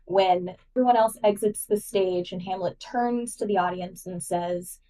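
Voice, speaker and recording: A woman's voice: mid-range voice, steady tone, measured pace, dark tone, far from the mic, low-quality recording. A woman guest co-host chatting enthusiastically on a podcast and explaining things with lots of "like" fillers. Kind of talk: casual podcast chat, explaining.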